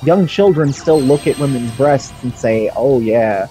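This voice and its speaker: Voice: mid-range voice, a little slow, mellow, faint music behind, low-quality recording. A streamer chatting casually, rambling and emphatic in giving opinions. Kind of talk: casual chat on a game livestream.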